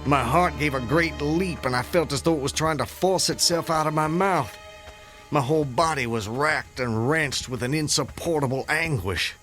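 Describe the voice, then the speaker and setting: An actor playing a condemned man in a radio drama, solemnly and intensely recounting his hanging in formal, deliberate, literary language. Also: a little high, a bit bright, lightly acted. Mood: anguished. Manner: formal, deliberate, vividly descriptive. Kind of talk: radio drama acting, literary first-person storytelling. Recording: music under the voice. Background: background music.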